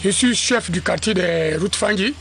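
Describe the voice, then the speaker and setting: Halting neighbourhood chief, bright voice. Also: male voice, sits high, a little hiss or hum. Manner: halting, with many pauses and fillers. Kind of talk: on-street interview. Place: outdoors in a neighbourhood.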